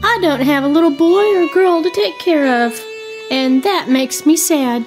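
A woman's voice: high voice, a little slow, darkish tone, low-quality recording. A woman voicing a sweet little old wife in a children's puppet show, cooing and singsong, with music playing underneath. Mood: wistful.

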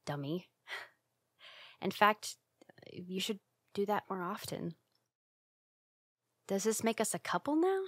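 A woman playing a bashful, secretly affectionate young tsundere roommate in an ASMR roleplay, speaking in a halting, flustered way with sighs. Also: mid-range voice, fairly bright tone, no echo, studio quality. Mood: soft, shy.

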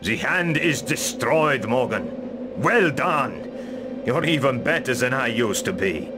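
An actor playing a tough, hearty veteran warrior in a video game cutscene, plain-spoken in manner. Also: male voice, medium pitch, animated, slow, over loud music. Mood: hearty, approving.

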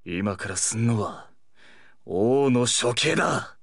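A man playing a brash, hot-headed teenage delinquent brawler with rough, cocky speech in an anime fighting game. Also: mid-range voice, expressive, slow pace, dry recording, clean studio sound, full character voice. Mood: fierce, cocky.

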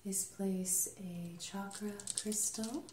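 A young adult woman leading an ASMR energy healing session, soft-spoken and soothing, sometimes dropping into a whisper. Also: medium pitch, fairly even intonation, takes it slowly. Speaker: Reiki healer and channel host. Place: small dim indoor treatment room. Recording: roomy, slightly noisy home recording.

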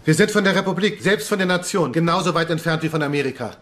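A man's voice: a little high, fast, fairly bright tone, lightly acted. A man playing an impassioned, disillusioned revolutionary parliamentarian of 1848 in a historical docudrama. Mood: weary, bitter.

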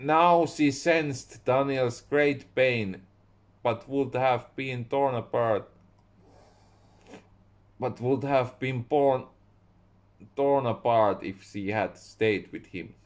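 Finnish-accented young man, a writer slowly reading his own tale, halting and self-correcting, mid pitch. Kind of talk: slow reading of a story aloud. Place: small room indoors at home.

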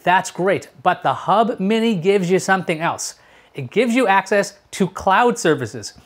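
Chatty man hosting a tech video. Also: high voice. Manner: chatty, explanatory, with rhetorical questions. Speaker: tech show host. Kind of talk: casual product review to camera. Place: small furnished room at home, indoors.

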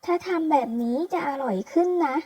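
Woman voicing a high-pitched, spirited young captive girl. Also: fairly quick, dark tone, close to an everyday voice. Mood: playful. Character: spirited young woman captive, food-loving, cheeky, bargaining. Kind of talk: comic-story dubbing read aloud.

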